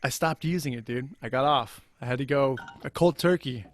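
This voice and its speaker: A man's voice: medium pitch, fairly quick, a little hiss or hum. A man co-hosting a podcast in casual chat, sounding stuffed-up and nasal, swearing freely and laughing often. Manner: profane, animated, laughs often. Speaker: podcast co-host.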